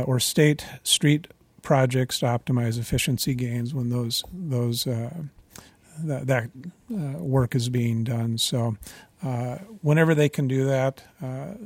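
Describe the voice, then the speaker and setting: A man speaking as a utility regulator at a public meeting, measured and formal, with frequent fillers and restarts. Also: mid-range voice, darkish tone, no echo.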